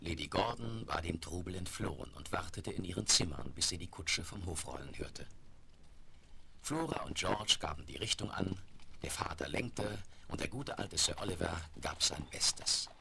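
A low-voiced composed storyteller with a gravelly creak, narrating a children's audio drama. It comes from a noisy old vinyl record with clicks. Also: male voice, normal speed.

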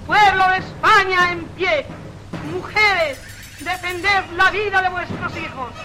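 A woman's voice: very high-pitched, measured pace, poor audio. A woman giving a declamatory, rallying wartime political speech in an archival recording. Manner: declamatory, exhorting. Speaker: political orator. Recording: archival speech with crowd and music.

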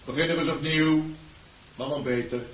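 A man's voice: fairly high pitch, steady tone, moderate pace, natural voice. An actor playing a stern male schoolteacher who presides over a contest in a curt, commanding radio-drama manner. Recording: hissy, roomy radio play recording.

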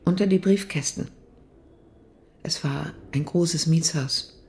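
An actress playing a gravely ill East German woman in a radio drama, grieving, hesitant and guarded. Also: sits low, slow, a little mellow. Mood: hesitant, confiding.

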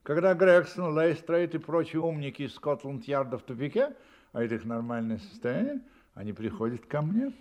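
A man acting a vain, didactic gentleman detective in an audio play, speaking in a pedantic, emphatic manner. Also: a little high, sing-song, normal speed. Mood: smug, self-assured. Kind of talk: theatrical radio-play dialogue.

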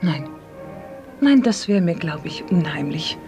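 A woman's voice: sits low, animated, a little slow, a little mellow, soft background music. An actress playing a plain-spoken, wary young woman in a radio play. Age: young adult. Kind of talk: natural radio play dialogue.

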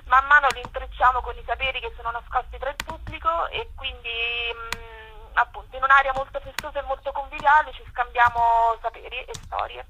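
A woman's voice: sits high, steady tone, a little fast, sharp tone, slight background noise, low-quality recording. A woman speaking as a guest in a radio interview, explaining her project in enthusiastic, long flowing sentences.

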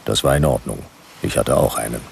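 A hard-boiled detective in a sci-fi radio play. His very deep voice is full of gravel, and he speaks in dry, terse, sardonic lines over faint background noise. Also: a little fast, heavily acted. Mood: laconic narrating. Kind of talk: noir first-person narration and radio play dialogue.

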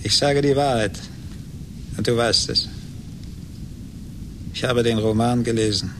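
A man acting a slow, smug schemer. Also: close to an everyday voice. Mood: cool, gloating. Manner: measured, deliberate, self-assured. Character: cool, smug, scheming man who ruined a former friend. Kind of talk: German radio play acting. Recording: noisy, rumbling 1980s radio play recording.

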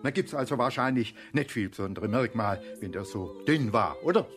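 An actor playing a grumbling provincial police inspector in a radio play, colloquial and sardonic. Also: male voice, mid-range voice, expressive, moderate pace, music in the background, natural voice. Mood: probing, exasperated. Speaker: actor in radio crime drama.

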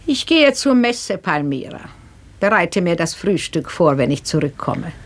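Proud old lady, swooping and slow. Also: fairly low pitch, big pitch swings. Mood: serene, contented. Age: older. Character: proud, pious old mistress of the house, easily aggrieved. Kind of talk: 1960s German radio play acting.

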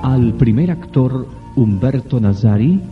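A man reads a scripted radio programme introduction in a formal, measured way. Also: medium pitch, expressive. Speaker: radio announcer. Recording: dull old radio recording under music. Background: prominent New-age music.

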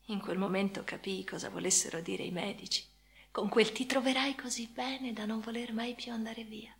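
An actress playing a haunted, obsessive woman in an intimate radio drama monologue, breathy and often whispering, with slow, halting, intense phrasing. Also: on the high side, steady tone. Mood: hushed, reverent. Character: haunted, obsessive female amputee confiding in her chosen successor. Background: music.

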